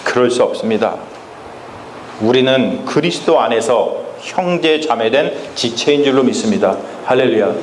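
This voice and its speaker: A male pastor preaching a Sunday sermon in a church, speaking emphatically and often turning to address the congregation directly, slowing down to stress key phrases. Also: medium pitch, animated, a little hiss or hum. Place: church sanctuary. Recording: echoey church with faint ambience.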